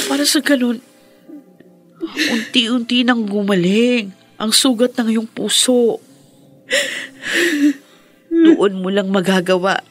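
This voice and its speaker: An actress playing a devout, caring woman in a Filipino radio drama, speaking earnestly and deliberately. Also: mid-range voice. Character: Sheila, devout caring woman urging forgiveness.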